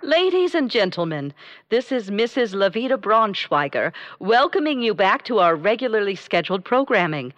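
A woman's voice: mid-range voice, sing-song, normal speed, fairly bright tone, muffled recording. A woman playing a prim, formal radio announcer in a comedy radio play. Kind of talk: comic radio drama acting.

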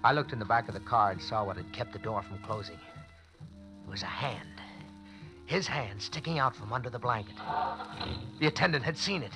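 A man's voice: medium pitch, lively intonation, brisk, long pauses, music in the background, rough recording. A man narrating in the first person for a 1940s radio drama, tense and nervous. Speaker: narrator and lead character.

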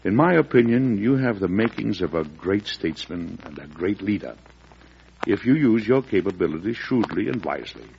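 Principled, fatherly president for vintage radio drama: low register, measured and oratorical, noisy period recording. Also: lively intonation, creaky, lo-fi sound. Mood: earnest warning. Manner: measured, earnest, oratorical when roused. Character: fatherly, principled early American president, shrewd and patient. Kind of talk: old-time radio drama acting.

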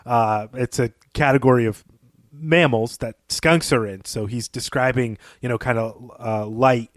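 A man of middling pitch speaks as a podcast co-host. He analyses literature in a measured, thoughtful way, building his points in halting phrases. The recording is dry and close. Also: steady tone, dry recording.

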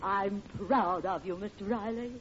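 An actress playing a prim, fair-minded schoolteacher in 1940s radio comedy, speaking in a proper, precise way. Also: female voice, mid-range voice, measured pace, natural voice. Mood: earnest. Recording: muffled noisy old radio, studio audience.

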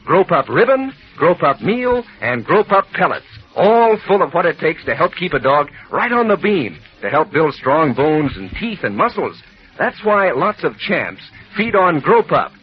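A man's voice: on the high side, very animated, measured pace, breathy edge. A male announcer reading 1940s radio ads in a peppy, salesman-like way. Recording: dull lo-fi old radio recording.

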